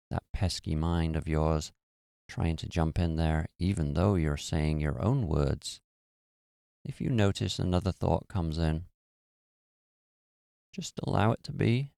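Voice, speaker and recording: A man guiding a meditation in a slow, soothing, hushed way. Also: low voice, creaky, no room sound, studio quality. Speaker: meditation guide. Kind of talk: guided meditation.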